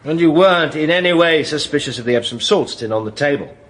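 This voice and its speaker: A cocksure British defence barrister in a radio drama. He is slightly husky, with theatrical swings of pitch and a sly, insistent manner. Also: animated, quick pace, fairly bright tone. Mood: cross-examining.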